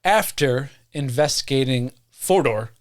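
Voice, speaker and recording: A man's voice: mid-range voice, lively intonation, a little slow. Jokey, profane man reading aloud. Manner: profane, self-correcting, jokey. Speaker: podcast co-host. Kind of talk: casual podcast chat, reading a story.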